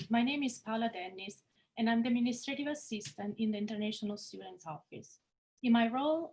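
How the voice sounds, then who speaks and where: A woman with a somewhat high voice speaks as an administrative assistant. She delivers a prepared, scripted introduction to her office duties. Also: a little mellow, poor audio. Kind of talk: scripted orientation presentation.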